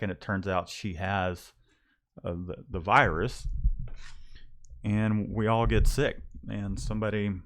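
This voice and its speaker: A man with a Southern accent mixing Texas and Louisiana, chatting casually and rambling with lots of restarts. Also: lowish voice. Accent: Southern US, Texas-Louisiana mix. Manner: rambling, many restarts and fillers. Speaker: screen printing shop owner, co-host. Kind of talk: casual livestream chat.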